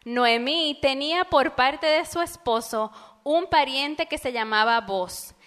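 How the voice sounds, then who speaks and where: A woman interpreting a sermon phrase by phrase, quick and fluid, in a church. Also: high-pitched, fairly bright tone, big-room sound. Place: church sanctuary.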